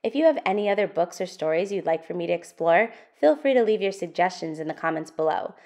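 A woman hosting an interactive children's storytime, reading a picture book aloud with expressive, sing-song, deliberate phrasing. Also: on the low side.

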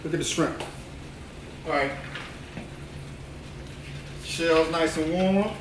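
A man's voice: a little high, takes it slowly. A man, a chef chatting exuberantly while he cooks live in his home kitchen, repeating phrases as he goes. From New Orleans. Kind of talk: live cooking stream chat. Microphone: phone camera moved around the kitchen. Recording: echoey kitchen, phone mic at a distance, noisy frying. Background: frying and sizzling food.

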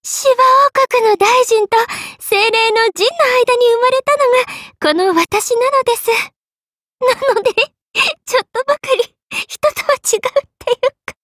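A woman's voice: very high voice, moderate pace, fairly bright tone, no echo. An actress voicing a playful, money-minded half-spirit young queen in a Japanese mobile game, coy and polite with a regal side. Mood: playful, teasing. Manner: coy, polite, sweetly drawling, sometimes solemn. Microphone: close studio microphone.

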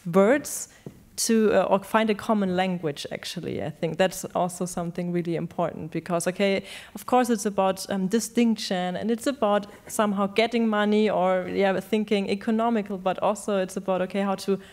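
A young woman speaking into a handheld mic on a panel stage. She talks thoughtfully, pausing to search for the right words. Her voice has a middle pitch. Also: steady tone, a little mellow. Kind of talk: panel discussion. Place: large stage in a hall.

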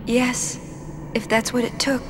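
An actress playing a gentle, dutiful young summoner in a video game, speaking in an earnest, formal and hesitant way. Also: female voice, fairly high pitch, level tone, a little slow, background noise, close to an everyday voice. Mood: regretful.

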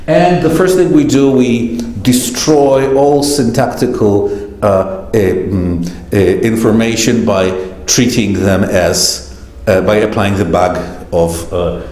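A man's voice: fairly low pitch, slow pace, a little mellow. Wry, hesitant man lecturing in an echoey hall. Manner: wry, self-deprecating, many filler hesitations. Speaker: keynote speaker. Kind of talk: conference lecture. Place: large lecture hall, standing at a podium. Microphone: podium mic, far camera.

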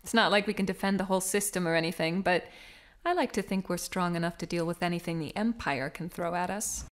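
An actress playing a friendly, confident rebel officer, casual and reassuring, in an audio drama. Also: female voice, lowish voice, fast talker, lightly acted. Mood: easy reassurance.

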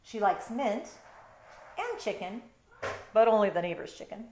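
A woman's voice: medium pitch, big pitch swings, unhurried, a little mellow, slight background noise. Middle-aged woman reading aloud, roomy echo. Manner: conversational, explanatory asides. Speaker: storytime reader, teacher. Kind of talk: picture book read-aloud with chatty asides. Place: bedroom at home.

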